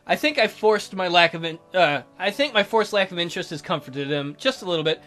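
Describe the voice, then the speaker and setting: A man's voice: high voice, lively intonation, brisk. A man hosting a let's play, reading visual novel narration aloud with casual asides. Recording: soft music behind the voice.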